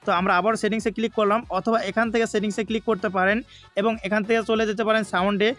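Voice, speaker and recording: A Bangladeshi man narrates a gaming tutorial in a high voice. His melody stays flat while he moves quickly through each step, repeating himself to explain. Faint music plays behind him. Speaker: gaming YouTuber.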